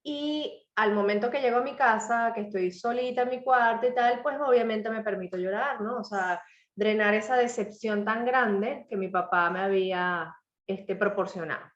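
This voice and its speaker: Slightly hoarse woman in roomy echo. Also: medium pitch, normal speed, muffled recording. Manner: reflective, personal storytelling with frequent "¿no?" and "¿ok?". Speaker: author and speaker. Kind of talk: live motivational talk.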